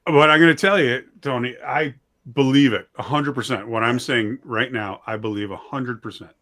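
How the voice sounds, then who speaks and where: A man's voice: medium pitch, steady tone, moderate pace, a bit bright. A man talking casually as an interview guest, plain-spoken and self-deprecating, emphatic about what he believes. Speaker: guest, audio drama writer and director.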